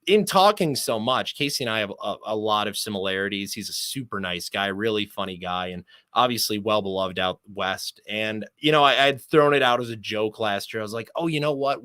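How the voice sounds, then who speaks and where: A man with a fairly low, bright and forceful voice talks as a guest on a sports show. He is a chatty storyteller who rolls from one anecdote to the next in casual, announcer-like fluency. The recording is dry and close. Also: no echo. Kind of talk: casual anecdotal interview talk.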